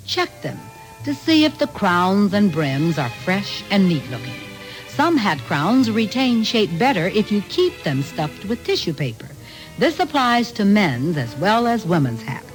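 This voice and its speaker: A woman's voice: medium pitch, poor audio. A woman hosting a scripted women's advice feature on 1960s radio. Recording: old AM radio aircheck.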